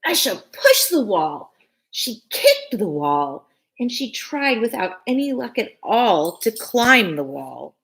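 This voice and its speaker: A woman's voice: a little high, huge pitch swings, vocal fry, a bit bright, husky, low-quality recording. A woman teacher reading a picture book aloud to children expressively, with short phrases and casual asides. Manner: addresses young listeners, pausing between short phrases.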